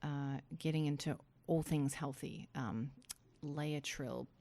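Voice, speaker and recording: A woman's voice: deep voice, steady tone, a little slow, mellow. A middle-aged woman with a New Zealand accent, speaking conversationally and reflectively as an interview guest, explaining things.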